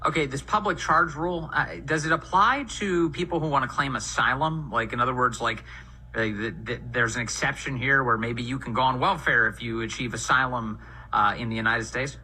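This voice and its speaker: A man hosting a talk radio show, interviewing a guest in an indignant, rapid-fire manner. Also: medium pitch, pushed and bright, slightly rough, poor audio.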